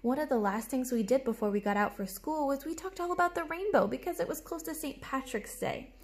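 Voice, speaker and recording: A young adult woman reading a storybook aloud to children like a teacher, with animated, explanatory asides, recorded in a room at home. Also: sits high, brisk. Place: small room at home, indoors. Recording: clear background noise, room ambience.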